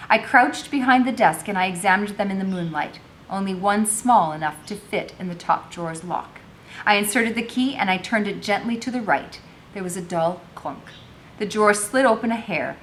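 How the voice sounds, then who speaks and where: A woman reading a novel aloud as a teacher, with narration and informal explanation. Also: fairly bright tone. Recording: faintly noisy home recording with low rumble.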